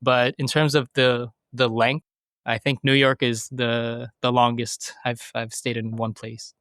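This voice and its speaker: A man in his mid-thirties from the United States, talking through his answers in a relaxed interview. His voice is smooth and resonant, and it sits at an ordinary middle pitch. The recording is dry and close. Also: a little slow, no echo. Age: 30s. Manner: thoughtful, hesitant, many fillers and restarts. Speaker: podcast guest. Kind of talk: conversational interview.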